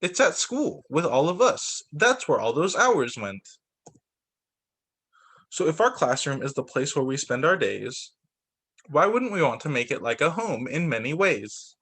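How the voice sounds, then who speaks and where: Male primary teacher, lightly high voice, animated picture-book read-aloud with spontaneous asides. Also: unhurried, breathy edge. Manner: expressive, comments aloud on the pictures. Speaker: teacher reading aloud to young students.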